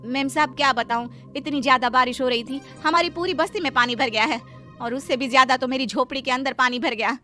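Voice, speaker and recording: Cartoon poor maid wife, anxious and dutiful, high pleading voice, voiced by a woman. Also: fairly quick, pushed and bright, faint music behind. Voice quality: pleading, fretful. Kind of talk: Hindi cartoon voice acting.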